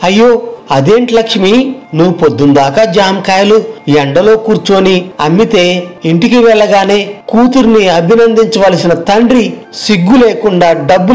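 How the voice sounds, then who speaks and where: A man plays a young village tea seller from a moral tale, his voice notably high. He speaks in earnest, pleading speeches, determined and aggrieved. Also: a little mellow, close to an everyday voice. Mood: indignant, troubled. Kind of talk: acted moral story dialogue.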